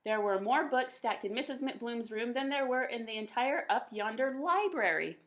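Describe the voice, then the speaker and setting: A woman reading a children's picture book aloud in an expressive, folksy storytelling manner. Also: a little high, moderate pace.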